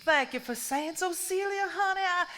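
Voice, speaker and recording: A woman's voice: very high-pitched, normal speed, bright, forceful tone. An actress playing a weary, unhappily married 1950s Southern mother in a live stage drama, with a Southern US accent. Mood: chatty, sympathetic. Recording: live stage recording, background hiss and ambience.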